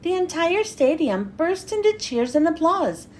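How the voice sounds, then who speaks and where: Female kids' read-aloud host: expressive, very lively intonation, high voice, slightly noisy recording. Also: measured pace. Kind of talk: children's storybook read-aloud.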